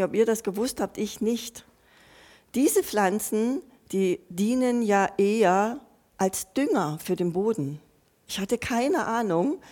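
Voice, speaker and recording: A woman's voice: mid-range voice, darkish tone. A woman giving a sermon, earnest and personal, speaking straight to her listeners and often restarting or repeating her phrases as she thinks aloud. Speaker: preacher.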